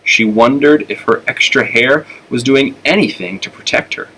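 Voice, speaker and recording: Bright-voiced American man reading a storybook. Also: mid-range voice, normal speed, slight background noise, poor audio. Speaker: elementary school teacher reading aloud. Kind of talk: children's storybook narration.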